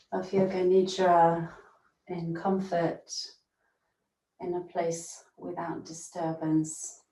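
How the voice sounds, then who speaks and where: A woman teaching yoga nidra, leading a guided meditation in a gentle, soothing manner with long pauses. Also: fairly low pitch. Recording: roomy echo, distant from mic.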